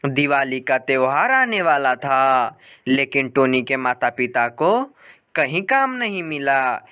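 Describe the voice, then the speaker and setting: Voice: medium pitch, big pitch swings, takes it slowly, sharp tone, clean tone. A storyteller reading a scripted moral story for children.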